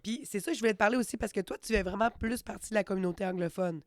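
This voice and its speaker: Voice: medium pitch, very fast, no room sound, studio quality. Curious podcast host with a Quebec French accent. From Quebec City, Canada. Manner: curious, asking short questions. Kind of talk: casual interview chat.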